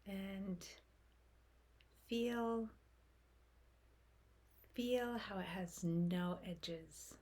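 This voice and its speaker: A woman leading a guided meditation in a soft, breathy voice, speaking gently in short phrases with long pauses. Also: medium pitch. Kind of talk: guided meditation, slow unfolding instruction.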